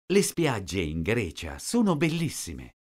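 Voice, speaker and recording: A man slowly reading out short sentences, each one twice, for a language lesson. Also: fairly low pitch, huge pitch swings, husky. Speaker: language teacher. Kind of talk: slow repeated phrase reading.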